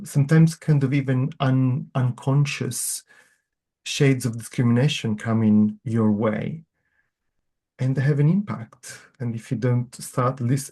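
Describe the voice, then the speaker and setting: A man whose first language is not English, answering as a guest author in a measured, thoughtful way. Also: mid-range voice, breathy edge. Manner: measured, thoughtful, gently earnest. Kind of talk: reflective interview answers.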